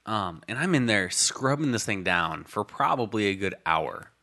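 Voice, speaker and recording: A young man with a low, bright voice tells a long story in casual banter. He rambles, restarts his sentences and piles up fillers as he goes. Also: expressive, moderate pace. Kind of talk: casual chat.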